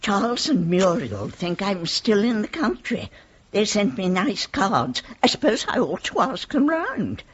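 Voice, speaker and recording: A woman's voice: medium pitch, big pitch swings, measured pace, husky. An actress playing a genteel, girlish, effusive woman of eighty-five with a British accent in a radio play. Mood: wistful, confiding. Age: 80s. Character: frail, genteel, giddy eighty-five-year-old widowed lady. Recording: dull lo-fi radio recording.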